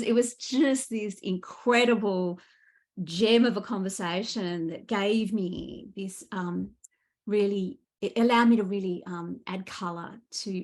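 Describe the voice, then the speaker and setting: Australian female guest author, conversational and keen, medium-pitched voice, natural storytelling delivery. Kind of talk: casual interview chat.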